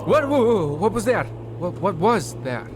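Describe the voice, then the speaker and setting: A man playing a pompous, excitable husband in a mock old-time radio comedy, theatrical and stammering when flustered. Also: high voice, moderate pace, background noise. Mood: flustered, grandiose. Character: Percival, pompous, excitable husband with a gambling weakness.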